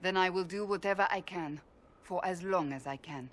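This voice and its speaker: A woman playing a stern, devout warrior in a fantasy game, speaking formally and with measured, blunt earnestness. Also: lowish voice, lightly acted. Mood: resolute. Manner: formal, measured, deliberate phrasing. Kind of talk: dramatic video game dialogue.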